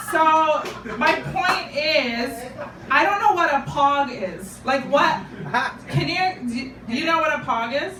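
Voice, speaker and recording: A woman's voice: sits high, background noise. A young woman from Japan, Japanese her first language, doing a chatty, self-deprecating stand-up set and bantering with a live club audience. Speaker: stand-up comedian. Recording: roomy, distant live club recording.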